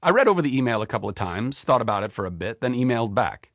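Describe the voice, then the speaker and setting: A man in his forties reading his own memoir aloud as an audiobook, in a candid, expressive storytelling manner. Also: fast, dry recording, clean studio sound. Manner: expressive, candid storytelling with wide pitch swings.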